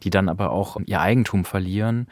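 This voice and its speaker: Guy with a lowish voice on a podcast, thinking out loud, really dry close sound. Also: a little fast, no room sound. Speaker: podcast co-host. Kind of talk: thoughtful conversational review.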